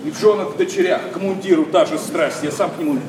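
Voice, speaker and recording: An actor playing a scornful young idealist gentleman in a verse radio play, declaiming with impassioned, biting sarcasm. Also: high-pitched, quick pace, very noisy, lightly acted. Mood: indignant, scornful. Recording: echoey, distant stage sound with audience.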